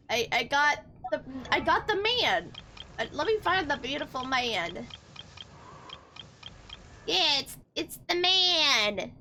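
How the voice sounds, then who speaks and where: Bright, high-voiced young woman streamer. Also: a little hiss or hum. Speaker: game streamer. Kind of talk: casual livestream chat. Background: game music.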